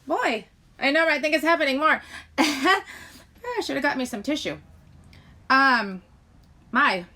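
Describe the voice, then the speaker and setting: A woman hosting a casual live chat, rambling to viewers in a halting way, often breaking off mid-sentence. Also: high voice, animated, bright, forceful tone. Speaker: live stream host chatting with viewers.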